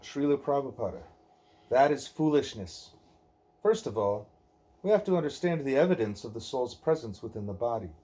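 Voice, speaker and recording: A man reading a spiritual dialogue aloud at home, speaking deliberately and sometimes correcting himself. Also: mid-range voice, warm tone. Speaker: book reader, parent.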